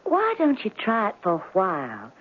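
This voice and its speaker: An actress playing a prim, coy young small-town sweetheart, gently teasing and proper, in an old-time radio drama. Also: female voice, mid-range voice, huge pitch swings, normal speed, breathy edge. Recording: muffled phone-like vintage radio sound.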